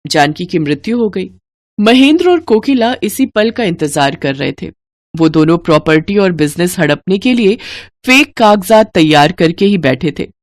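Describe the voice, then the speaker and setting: A woman's voice: on the low side, lively intonation, moderate pace, no echo. A woman storyteller reading scripted narration for a moral storybook tale.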